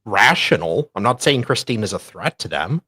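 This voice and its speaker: A woman's voice: very deep voice, big pitch swings, normal speed, sharp tone, husky, no room sound, clean studio sound. A woman co-host reading documents aloud in a theatrical, mocking way, breaking off for casual asides. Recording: faint music behind voice. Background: faint music.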